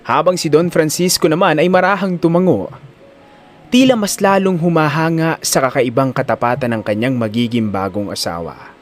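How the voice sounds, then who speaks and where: Animated male story narrator. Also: fairly high pitch. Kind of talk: scripted dramatic story narration.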